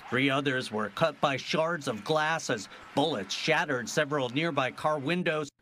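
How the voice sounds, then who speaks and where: A TV news reporter, a man whose pitch sits a bit above most men's. He reads his script in an even, measured way. A faint hum of background noise sits under the voice.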